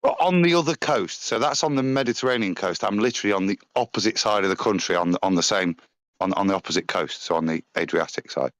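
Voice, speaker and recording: A man's voice: fast, fairly bright tone, dry recording. A man with a Nottingham accent chatting casually on a live panel, telling rambling stories. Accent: Nottingham English. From England. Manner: chatty storyteller with broad pitch swings and impressions. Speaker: panel guest. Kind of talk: casual chat on a live stream.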